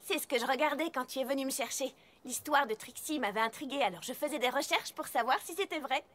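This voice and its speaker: High-voiced earnest young unicorn. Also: female voice, quick pace, fairly bright tone. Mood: earnest, unsure. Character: studious, modest young female unicorn, earnest and kind. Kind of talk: French-dubbed children's cartoon acting. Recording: music behind the voice.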